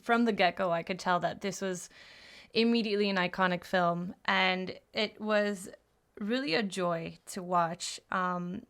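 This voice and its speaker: A woman's voice: on the low side, unhurried, fairly bright tone, smooth. A woman guesting on a podcast, talking about a film in a casual way, agreeable and often chiming in.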